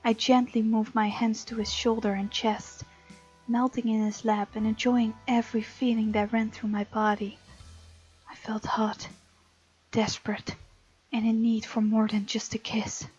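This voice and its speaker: Girl reading as tender bold young heroine, romance game, breathy n whispery, highish, music behind. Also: flat delivery, unhurried, a little mellow. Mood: intimate, hushed. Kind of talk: hushed dramatic reading of a visual novel. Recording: muffled lo-fi mic, music underneath.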